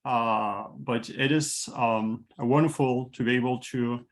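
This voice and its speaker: A man whose first language is Turkish, hosting an online talk on a video call and speaking haltingly with many fillers and restarts. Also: mid-range voice, steady tone. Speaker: host, institute president.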